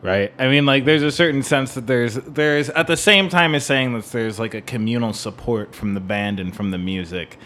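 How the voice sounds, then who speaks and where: A man's voice: mid-range voice, normal speed, hardly any pauses, a bit bright. A man co-hosting a casual, analytical music talk in an informal, meandering way, often checking for agreement. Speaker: podcast co-host. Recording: faint background room noise.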